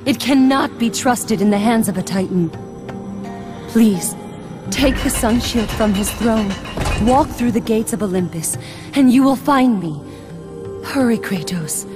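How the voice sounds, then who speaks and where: An actress playing a goddess of the dawn who pleads earnestly and urgently in a game cutscene. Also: female voice, fairly high pitch, expressive, a little slow, music in the background. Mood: pleading.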